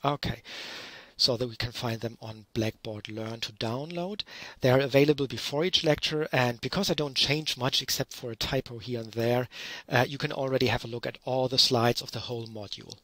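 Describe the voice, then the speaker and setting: A middle-aged man from Germany with a German accent gives a relaxed online lecture from home, using lots of fillers. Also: medium pitch, a little fast. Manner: relaxed, explanatory, with frequent fillers. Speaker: university lecturer. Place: small room at home, curtain behind. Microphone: headset.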